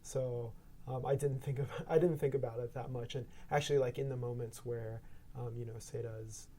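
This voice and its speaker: A man's voice: mid-range voice, fairly even intonation, moderate pace, warm tone. A man guest on a podcast, speaking in a hesitant, thoughtful way with many fillers. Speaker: sound designer guest. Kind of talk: casual podcast chat.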